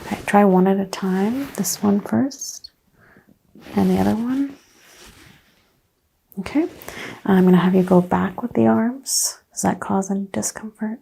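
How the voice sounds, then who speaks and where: A soft-spoken woman playing a chiropractor in an ASMR roleplay, hushed and often drifting into a whisper. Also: medium pitch, measured pace, gravelly, pauses often, rough recording. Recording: faint background noise.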